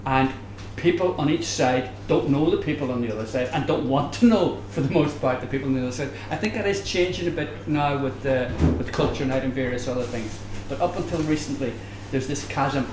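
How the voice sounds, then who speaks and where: Higher-voiced older Northern Irish man, echoey room. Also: fairly quick, hardly any pauses. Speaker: panelist, academic. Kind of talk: conversational panel talk, anecdotal. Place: bar venue, live audience discussion. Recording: echoey room, background noise, live event.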